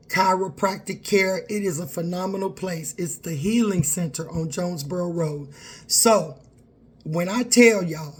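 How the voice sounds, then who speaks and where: A woman's voice: lowish voice, a little mellow. A woman, talking candidly and earnestly to her viewers in a personal vlog. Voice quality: raspy. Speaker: vlogger.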